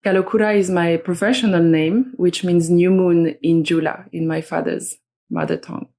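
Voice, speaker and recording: Soft-spoken, low-voiced woman from Switzerland. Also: fairly even intonation, vocal fry. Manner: slow, soft-spoken, searching for words. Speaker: guest, somatic educator and astrologer. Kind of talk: reflective interview talk.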